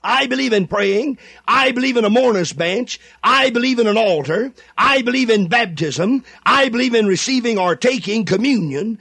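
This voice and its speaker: A man preaching a fervent radio sermon, swinging from shouts to low, drawn-out emphatic repetition. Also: animated, vocal fry, slightly rough, no echo. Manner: impassioned, rising to shouts then dropping low.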